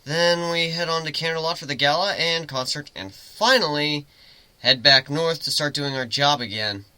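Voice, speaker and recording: A man giving an expressive, theatrical dramatic reading of a first-person story. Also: fairly high pitch, moderate pace, clean tone, dry recording. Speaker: amateur story reader, narrator.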